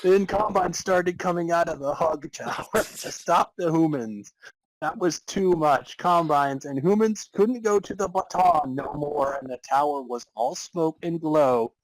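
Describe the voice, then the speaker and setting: Guy doing a dramatic story reading, slow and theatrical, kinda cracking up, real dry close sound. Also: on the high side, no echo, low-quality recording. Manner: theatrical, often breaking into laughter. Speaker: narrator and podcast host. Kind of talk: dramatic reading of comic fan fiction.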